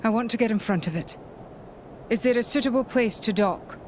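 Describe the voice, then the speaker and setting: A woman playing a composed, authoritative military commander in a video game, with formal, measured speech. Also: a little high, a little mellow, a little hiss or hum, natural voice. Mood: firm. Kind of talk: video game cutscene acting.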